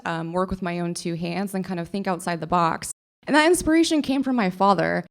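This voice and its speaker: A young woman from Oklahoma gives a short talk on a conference stage. Her voice sits on the lower side for a woman. She speaks through a stage microphone, earnest and enthusiastic, repeating key words and restarting small phrases as she goes. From Oklahoma, USA. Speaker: librarian giving a conference talk.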